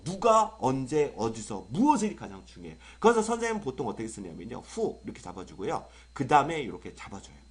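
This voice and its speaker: Husky, excitable male tutor. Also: on the high side. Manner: excitable, exaggerated lecturing with rhetorical questions. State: sore throat from a cold. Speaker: English exam tutor. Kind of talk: online exam-prep lecture.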